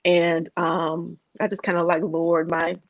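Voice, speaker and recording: A woman guest with a soft voice, talking in a hesitant conversational way with many fillers and restarts. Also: on the low side, level tone, a little slow, no echo, highs cut off. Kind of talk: conversational interview.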